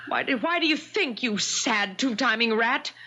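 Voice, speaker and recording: Radio drama: scorned American wife, sardonic and indignant, bright voice, animated delivery. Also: female voice, on the high side, moderate pace, muffled recording. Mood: bitter, indignant. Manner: pointed, theatrical. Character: wronged wife, indignant, cunning and bitterly sardonic. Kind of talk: 1970s radio drama acting.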